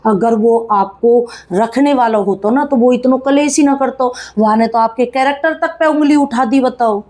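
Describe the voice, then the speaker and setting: A woman's voice: on the high side, moderate pace, darkish tone. A woman, giving casual, blunt, chiding gossip commentary to viewers in colloquial speech. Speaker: YouTube commentator.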